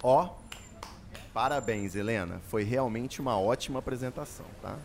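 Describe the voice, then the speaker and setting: A man's voice: mid-range voice, normal speed, slight background noise, lightly acted. A Brazilian man voicing a frank, flirty inner voice in an audio drama. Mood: approving. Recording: music behind the voice.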